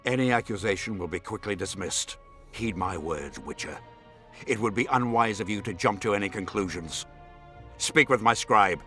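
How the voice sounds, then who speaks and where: An actor playing a haughty, scheming nobleman in a video game, speaking in a formal, imperious and measured manner. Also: on the low side, sharp tone, soft background music. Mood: stern, indignant.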